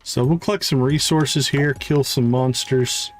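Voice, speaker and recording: A man hosting a game livestream with casual, unhurried commentary, thinking aloud as he plays. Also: mid-range voice, faint music behind. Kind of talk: casual live gameplay commentary. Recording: faint background noise with clicks.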